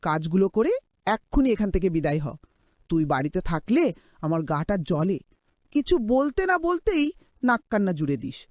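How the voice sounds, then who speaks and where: A woman's voice: mid-range voice, big pitch swings, fairly quick, darkish tone, no room sound, studio quality, natural voice. Woman playing a harsh, swooping, scolding cartoon aunt. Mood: bitter, dismissive. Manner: harsh, sarcastic, nagging. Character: cruel, scolding aunt bullying her orphaned niece. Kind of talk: cartoon drama voice acting.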